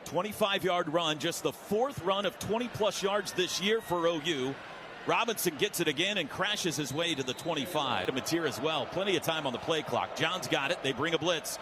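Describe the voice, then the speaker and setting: American guy doing radio football play by play, high voice, short excitable calls, noisy stadium behind him. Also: moderate pace. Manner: clipped, vivid, excitable calls.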